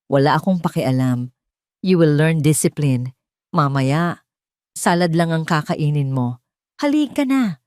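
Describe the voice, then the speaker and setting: A woman voicing a cruel, irritable rich woman in an audio drama, shrill and scornful as she berates in mixed Tagalog and English. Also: lively intonation, measured pace, long pauses, no room sound, studio quality. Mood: cold, commanding. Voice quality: shrill, sharp.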